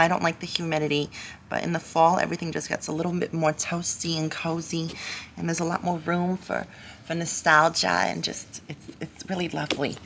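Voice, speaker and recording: A nasal, gravelly suburban cartoon housewife, played by a woman, rambles through a homemade craft vlog. She is chatty and halting, with a nervous edge and salty asides. There is clear noise in the home recording. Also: low-pitched. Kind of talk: parody craft vlog in character.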